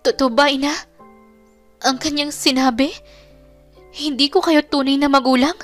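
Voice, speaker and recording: A high, emotional young woman's voice, playing a wounded daughter in an audio storybook, performed by the female narrator. Her manner is reproachful and distraught, with music underneath. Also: fairly even intonation, normal speed, natural voice. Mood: shocked, hurt. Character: hurt, distraught young blind daughter demanding the truth.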